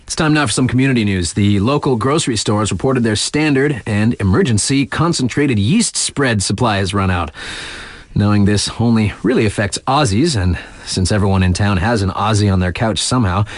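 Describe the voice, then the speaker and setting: An actor playing a laid-back, wry mountain-town radio DJ in a video game, reading mock local news with casual, slangy deadpan asides. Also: male voice, on the low side, a little fast, no room sound, close to an everyday voice. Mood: deadpan, amused.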